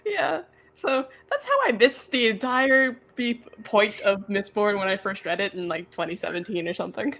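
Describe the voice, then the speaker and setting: A woman's voice: medium pitch, lively intonation, normal speed, vocal fry, a bit bright. A woman taking part in a casual book discussion over a video call, talking in an informal, chatty way. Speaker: book club participant. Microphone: internet video call.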